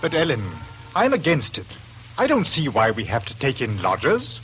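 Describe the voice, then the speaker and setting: Casting: grumbly retired husband, older, very animated mid-pitched voice, old-time radio. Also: male voice, normal speed, low-quality recording. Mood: grumbling. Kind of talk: 1940s radio drama acting. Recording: old 1940s radio broadcast, music behind.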